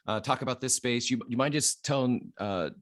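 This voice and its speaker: A man hosting a podcast, talking in a halting, stammering way and cracking small jokes. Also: mid-range voice, fairly bright tone, no room sound, studio quality. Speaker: podcast host. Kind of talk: casual interview talk.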